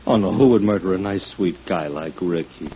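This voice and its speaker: An actor playing an excitable, rough-edged American booking agent, blustering and repetitive, in a 1950s radio drama. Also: male voice, low voice, a little fast, a little hiss or hum, close to an everyday voice. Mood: distraught. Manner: blustering, repetitive, ungrammatical tough talk. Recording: dull old radio recording.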